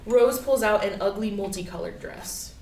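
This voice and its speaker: Female stage-directions reader, mid-pitched, scripted narration, echoey and away from the mic. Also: normal speed, a little mellow. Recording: echoey, distant mic, faint background noise.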